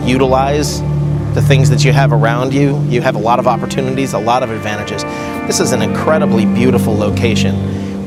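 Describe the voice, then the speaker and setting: A man at a mid pitch speaks in a direct, instructive way as organizer of a competition. Music plays loudly behind his voice. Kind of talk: confessional interview. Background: background music.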